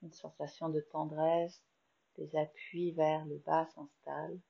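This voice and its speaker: French lady leading yoga nidra, super slow and soft, kinda dull lo-fi audio. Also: low-pitched, little pitch movement, vocal fry, slightly rough. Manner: slow, soft, soothing guidance with long pauses. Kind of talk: guided meditation.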